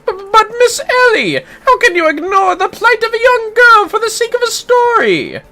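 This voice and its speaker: A woman's voice: extremely high pitch, sing-song, normal speed, over music, pitched above the performer's own voice, exaggerated voice. A woman voicing a pompous, chivalrous amateur detective with grandiose, archaic, florid speech. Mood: indignant, heroic.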